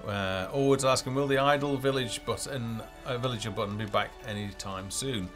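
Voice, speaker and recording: A man's voice: medium pitch, normal speed, fairly bright tone. A man hosting a casual game developer live stream, talking off the cuff in a hesitant, rambling way as he thinks aloud. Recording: music playing behind the voice.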